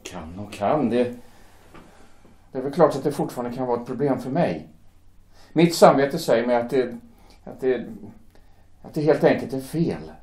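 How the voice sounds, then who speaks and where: A man playing a young German shipyard worker who speaks hesitantly and haltingly, torn by conscience. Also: mid-range voice, big pitch swings, a little mellow, close to an everyday voice. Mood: troubled. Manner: hesitant, halting, repeats words. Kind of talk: amateur audio drama acting. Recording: echoey room.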